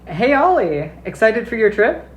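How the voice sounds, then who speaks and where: A woman's voice: a little high, very animated, measured pace. A woman playing an apologetic but unbending trip seller in a scripted play. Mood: cheerful. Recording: echoey room with noticeable noise.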